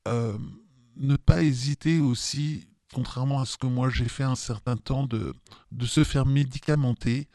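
A man in his fifties gives a spontaneous personal testimony. His voice is soft-edged and mellow, recorded very dry. He hesitates and often repeats words while finding his phrasing. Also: medium pitch, steady tone, normal speed, no echo. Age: 50s. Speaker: testimony guest with lived experience of depression.

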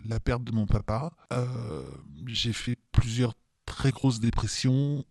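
Mellow, hesitant man in his fifties. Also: medium pitch, steady tone, takes it slowly, no room sound, studio quality. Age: 50s. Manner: hesitant, often repeating words. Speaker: testimony guest with lived experience of depression. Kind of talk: personal testimony, spontaneous speech.

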